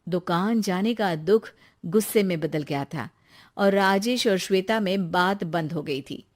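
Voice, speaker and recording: A woman narrating a scripted cartoon story in a plain, steady way. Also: fairly low pitch, a little slow.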